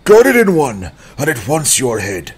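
A man voicing a hostile, sneering townsman with a rough husky voice in a dramatized fantasy audiobook, accusing and mocking. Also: medium pitch, huge pitch swings, normal speed. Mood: sneering, demanding.

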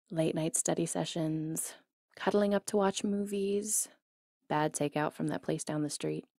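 A jealous, playfully stubborn young ex-girlfriend, acted by a woman, talks straight to the listener in an ASMR roleplay. Her voice sits at a middling pitch and is expressive and teasing. The sound is dry and intimate, with no room around it. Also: dry recording. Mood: wistful, vulnerable. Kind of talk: ASMR roleplay acting, intimate one-sided dialogue.

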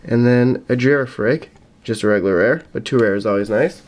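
A young man with a slightly raspy voice from a cold, giving casual, matter-of-fact commentary as he hosts an unboxing video. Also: medium pitch, brisk, a little mellow. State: getting over a cold. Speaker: YouTube host opening trading card packs. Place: indoors at a table in a home room. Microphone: camera mic at about arm's length. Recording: noisy room, card and wrapper crinkling. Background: crinkling packs, clicks, faint noise.

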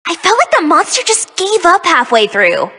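Very high, bright, peppy magical-girl heroine. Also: huge pitch swings, moderate pace, in a large hall, exaggerated voice. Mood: cocky, mocking. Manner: sassy, spirited, theatrical delivery. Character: young magical-girl archer heroine, cocky, peppy, teasing. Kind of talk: video game character voice lines.

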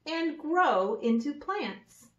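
A woman in her thirties reading a children's picture book aloud like an early education teacher, with simple, deliberate phrasing and little asides. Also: sits high, sing-song, noisy background. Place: indoor room with wood-paneled wall. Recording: echoey room, slightly dull sound.

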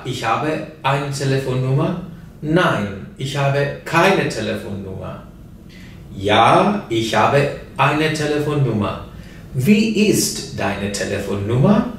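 A man in his forties teaching beginner German, saying each phrase slowly and clearly, in a small classroom with a whiteboard. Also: medium pitch, slight background noise. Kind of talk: slow listen-and-repeat language lesson. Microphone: camera mic from a few feet away. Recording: echoey room, mic at a distance.

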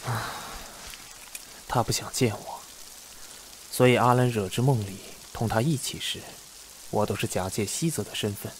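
A man acting an aloof, possessive immortal lord in a fantasy audio drama, cool, measured and sure of himself. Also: fairly low pitch, slight background noise, natural voice. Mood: calm, deliberate.

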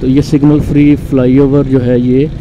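Calm Pakistani man narrating a motorbike ride. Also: medium pitch. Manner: calm, unhurried, pausing with frequent fillers. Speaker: motorcycle vlogger. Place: on a motorcycle on a city road, outdoors. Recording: dull lo-fi sound with road and traffic noise.

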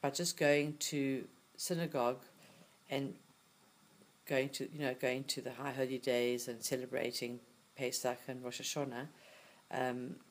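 A man's voice: medium pitch, flat delivery, measured pace. A man from South Africa, a parent, answering an interview in a rambling, casual way with fillers.

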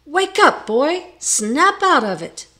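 A woman voicing an excitable, gullible older carpenter in a storybook tale, pious, proud and given to blustering exclamations. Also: fairly high pitch, a little slow. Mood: shouting, urgent.

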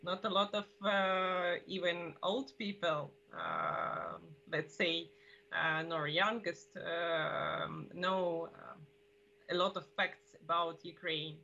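A woman's voice: on the low side, slow pace, creaky, pushed and bright. A woman hosting a meeting introduces a speaker off the cuff, hesitantly, searching for words. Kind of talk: unscripted introduction. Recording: dull call audio with hum and noise.

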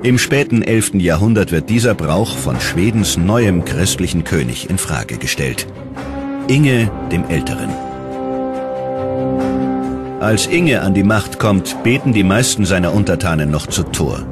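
Male documentary narrator, low voice, lively dramatic delivery, loud music underneath. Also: normal speed. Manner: dramatic, emphatic.

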